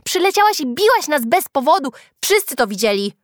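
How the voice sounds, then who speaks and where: Woman as a high, sneering schoolgirl. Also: big pitch swings, very slow, bright, forceful tone, no echo, studio quality, full character voice. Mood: accusing. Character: sneering, disbelieving schoolgirl classmate in a storybook.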